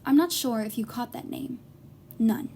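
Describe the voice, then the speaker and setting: Woman as a high-voiced investigative reporter. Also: dark tone, close to an everyday voice. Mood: serious. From Northern Virginia. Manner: measured, probing. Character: probing female investigative podcast reporter, serious, composed. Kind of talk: scripted investigative podcast narration. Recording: music behind the voice.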